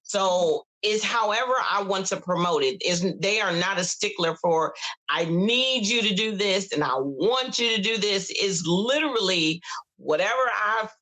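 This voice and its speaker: A woman over fifty with a bright, forceful voice chats easily as a podcast guest. She stops, restarts and repeats words as she thinks aloud. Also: medium pitch, expressive, a little slow. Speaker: podcast guest, cigar brand ambassador. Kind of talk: casual chat.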